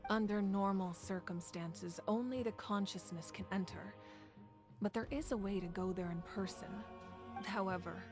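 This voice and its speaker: A woman playing a calm, commanding ruler of a fire nation who speaks in a composed, measured, earnest way. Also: medium pitch, lightly acted. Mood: steady. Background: game music.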